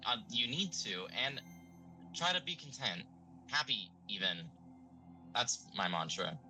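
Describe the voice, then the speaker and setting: Actor as bright-voiced laid-back young stoner guy. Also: medium pitch, very animated, a little slow, soft background music, full character voice. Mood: earnest. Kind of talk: audio drama acting.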